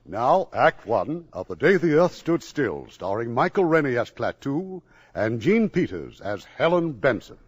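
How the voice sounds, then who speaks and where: A man's voice: medium pitch, sing-song, a little slow, highs cut off. A man announcing a 1950s radio drama with formal, stately introductions. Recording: dry close old radio broadcast.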